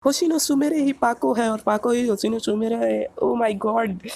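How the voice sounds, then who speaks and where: A man playing an earnest, excitable boy superhero in a cartoon dub, pleading and repeating himself when flustered. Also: mid-range voice, a little fast, close to an everyday voice. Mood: amazed.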